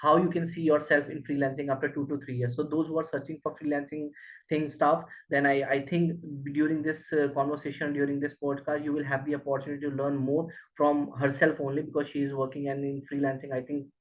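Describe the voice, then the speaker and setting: A man from India hosting a podcast interview, speaking haltingly with many fillers and restarts. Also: fairly high pitch, level tone. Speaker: podcast host. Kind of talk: interview podcast over a call. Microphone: video call. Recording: tinny phone-like call audio.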